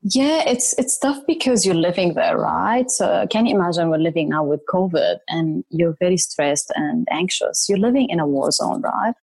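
A woman in her thirties from Syria talks eagerly as an interview guest, leaning on repeated words for emphasis. Her voice sits a little low. The sound is dry and close. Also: darkish tone, breathy edge, no room sound. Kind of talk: interview conversation.

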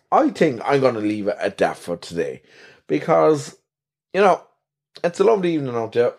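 A man's voice: medium pitch, vocal fry, a little mellow. An Irish man hosting a podcast, chatting casually in a rambling, self-deprecating way with a soothing voice. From Ireland. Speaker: podcast host. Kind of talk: casual solo podcast chat.

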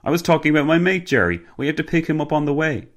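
A man's voice: fairly high pitch, expressive, very fast, voice pushed up, close to an everyday voice. A cheeky Cockney cab driver, acted out by a male narrator in an audiobook. He is matey and jokey, and his voice bounces widely up and down, lifted well above the storytelling register. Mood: chummy, cheeky.

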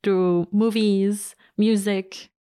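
A woman's voice: mid-range voice, fairly even intonation, very slow, warm tone, clear, resonant tone. A woman with a mid-range voice hosts an interview podcast. She talks conversationally as she puts questions and thoughts to her guest.

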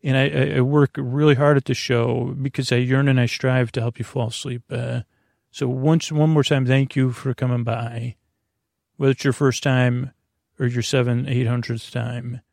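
A man hosting a bedtime podcast, talking in a soft, creaky, meandering way with many fillers and restarts. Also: medium pitch, flat delivery, moderate pace, no room sound, clean studio sound. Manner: soft, meandering, self-interrupting. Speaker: podcast host. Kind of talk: rambling bedtime podcast talk.

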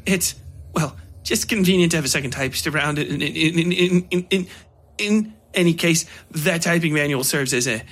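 A man's voice: fairly high pitch, normal speed, fairly bright tone, pitched above the performer's own voice. A man voicing a nervous, eager, polite traveling salesman who stammers and stumbles over his words, in an audio story. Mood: flustered, stammering.

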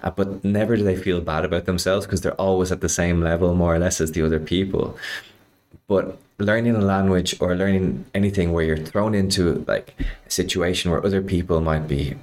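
A man hosts a casual podcast chat in a very low voice. He rambles through stories, pokes fun at himself, and fills his pauses with ums and likes. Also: steady tone, a little mellow. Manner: rambling, self-deprecating, many fillers. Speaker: podcast host.

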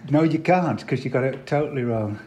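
A man's voice: medium pitch, a little fast, a little mellow, slight background noise. A middle-aged man from Wales, a guest in a casual chat, telling rambling, self-deprecating anecdotes and chuckling as he goes. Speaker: interview guest.

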